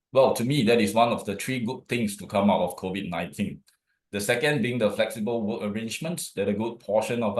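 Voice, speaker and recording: A man hosting a webinar, introducing speakers in a formal, measured way. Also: lowish voice. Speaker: host. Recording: echoey room.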